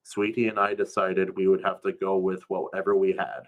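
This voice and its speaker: A man's voice: low-pitched, little pitch movement, moderate pace, low-quality recording. A man reading a first-person story aloud in a colloquial, storytelling way.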